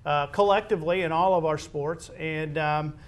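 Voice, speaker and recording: Higher-pitched American male host, halting delivery. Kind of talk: conversational sports interview hosting.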